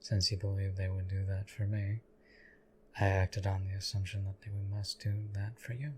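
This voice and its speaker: A man playing a stoic, loyal knight in an audio roleplay, speaking formally and deadpan to his liege in measured, courtly phrases. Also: low-pitched, slight background noise, lightly acted. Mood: earnest, deadpan. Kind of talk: scripted audio roleplay addressed to the listener.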